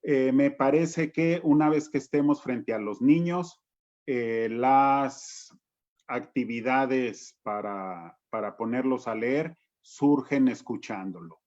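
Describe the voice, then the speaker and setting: A man with a Mexican accent giving halting, informal panel talk, sometimes acting out bits of dialogue. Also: medium pitch, darkish tone. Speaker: panelist on children's reading. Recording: dull lo-fi video call audio.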